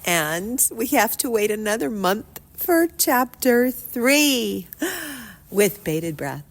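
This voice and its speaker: A woman's voice: mid-range voice, animated, slow. A woman podcast host wrapping up the episode in casual chat.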